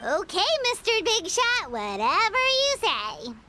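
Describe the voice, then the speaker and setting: A woman voicing a tiny, cheeky childlike fairy companion in a video game, squeaky, chirpy and sassy. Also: huge pitch swings, measured pace, exaggerated voice. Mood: skeptical, teasing. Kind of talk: animated video game voice acting. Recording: music behind the voice.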